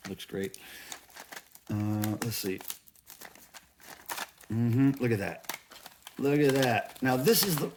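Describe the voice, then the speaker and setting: A man's voice: medium pitch, slight background noise. A middle-aged man chatting casually as a vlog host, musing aloud in a rambling way with folksy, playful slang. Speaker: video channel host. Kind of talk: casual haul vlog chat.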